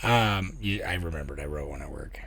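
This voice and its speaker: A man with a raspy voice co-hosting a podcast in casual, laid-back chat, swearing and laughing easily. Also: extremely low pitch, animated, fairly quick, a bit bright, dry recording. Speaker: podcast co-host.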